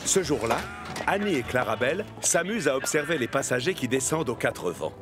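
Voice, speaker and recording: A man's voice: mid-range voice, big pitch swings, a little fast, music in the background. A man narrating a children's cartoon like a scripted storybook.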